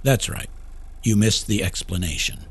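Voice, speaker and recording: A man's voice: on the low side, moderate pace, gravelly, husky, full character voice. A man reading a short story as a heavy-set small-town cop, clipped and unruffled. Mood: calm, evasive. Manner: clipped, flat questioning. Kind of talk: audiobook character acting.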